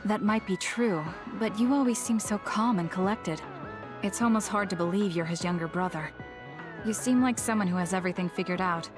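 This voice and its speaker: A woman's voice: mid-range voice, brisk, music in the background, natural voice. An actress playing a cool, blunt, determined young female soldier in a video game, reserved and matter-of-fact. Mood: reflective.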